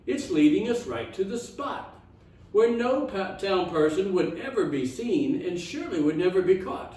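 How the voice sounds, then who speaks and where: A man's voice: sits high, very animated, normal speed, darkish tone. A man voicing a confident, pompous famous detective in a children's storybook reading. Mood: excited, self-assured. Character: famous male detective, confident and grandly declarative. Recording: echoey room, distant mic.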